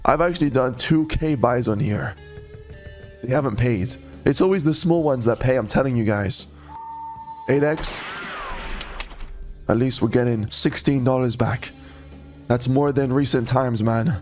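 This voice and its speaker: A young man giving casual live commentary over a slot game, mid-pitched and talking straight to his viewers. He swings between relaxed chatter and sudden excited shouts, and he is sick, with a voice that is wearing out. Faint music runs underneath. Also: measured pace, long pauses. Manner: excitable, breaks into loud yelps at wins. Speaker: slot streamer.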